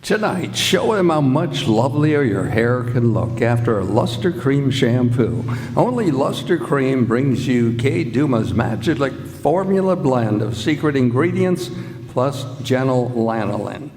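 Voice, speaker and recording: Man acting slow old-time radio pitchman, echoey hall. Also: very animated, darkish tone. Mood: earnest, selling. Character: earnest polished 1940s male radio pitchman. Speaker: commercial announcer in a radio play. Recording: echoey hall stage recording.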